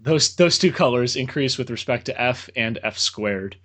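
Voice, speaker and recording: A man's voice: medium pitch, lively intonation, normal speed, fairly bright tone. A man, a guest engineer on a podcast, explaining technical ideas casually with dry joking asides.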